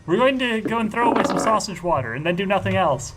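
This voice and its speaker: A man's voice: high voice, lively intonation, fast talker, over a noisy crowd, low-quality recording. A man playing a tabletop game and chatting casually with the group. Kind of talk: casual chat during a game. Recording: faint background noise.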